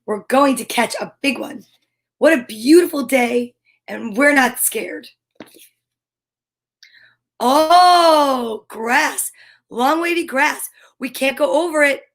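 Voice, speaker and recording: A high-pitched woman reads a picture book aloud to children at a slow, unhurried pace. Her delivery is animated and sing-song, with playful sound words, dramatic exclamations and chatty asides. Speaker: host reading picture books aloud.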